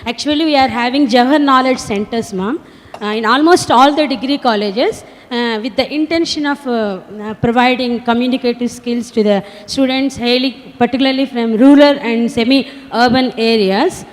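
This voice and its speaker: Brief: female audience questioner, hesitant, polite, higher voice, reverberant hall. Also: normal speed. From India. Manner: hesitant, many "uh" fillers, polite "ma'am". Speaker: audience member asking a question.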